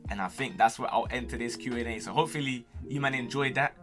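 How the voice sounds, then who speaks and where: A young man with a London accent talks quickly in a casual, slangy streamer style. His delivery is cocky and full of playful banter, at an ordinary male pitch. Music plays clearly behind him. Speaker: streamer and gamer answering viewer questions. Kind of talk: casual chat to camera.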